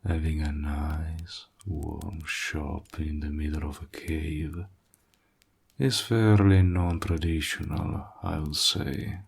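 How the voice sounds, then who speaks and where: A man playing a suave, courteous and flirtatious warlock with a sly edge, soft-spoken and deliberate, in an intimate audio roleplay. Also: very deep voice, exaggerated voice. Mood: amused, smooth. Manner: soft-spoken, breathy, slow and deliberate, flattering. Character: suave, courteous male warlock, hospitable, flirtatious, slyly menacing.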